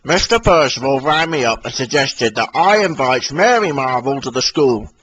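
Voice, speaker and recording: A man's voice: medium pitch, lively intonation, normal speed, fairly bright tone, slight background noise, natural voice, poor audio. A man voicing a kindly, stout male railway boss in a storybook, speaking in a firm, fatherly way.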